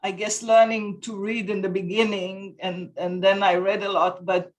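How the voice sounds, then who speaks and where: A woman's voice: medium pitch, level tone, normal speed, low-quality recording. A woman in her fifties with an Israeli accent, a guest on a video call from her home, searching for words as she talks. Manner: thoughtful, searches for words, laughs easily. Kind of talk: casual interview. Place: home room with bookshelves. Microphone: video call laptop mic.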